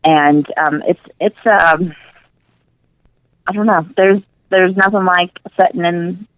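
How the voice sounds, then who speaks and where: A woman's voice: lowish voice, fairly even intonation, slow, dry recording, narrow, tinny audio. A woman speaking as a guest in a casual radio interview, earnest and emphatic, repeating words for emphasis. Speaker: interview guest, nutrition coach.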